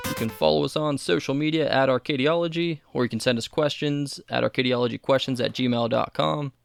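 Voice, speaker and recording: Man, 30s, podcast co-host; gravelly, relaxed delivery. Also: medium pitch, fairly quick, soft background music. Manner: relaxed, reads listener mail aloud. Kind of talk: casual chat.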